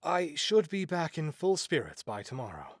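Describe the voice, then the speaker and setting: A man's voice: on the high side, wildly swooping pitch, moderate pace, no room sound, studio quality. A man playing an anguished, self-loathing cursed ex-soldier in a visual novel, weary and dramatic. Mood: weary, polite. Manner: dramatic, despairing, polite but weary.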